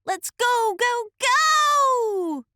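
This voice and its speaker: A woman voicing a wild little male acorn in a children's storybook, cheeky, excitable and hungry for thrills. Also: very high-pitched, very slow, dry recording, studio quality, pitched above the performer's own voice, exaggerated voice. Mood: thrilled. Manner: excitable, cheering, taunting.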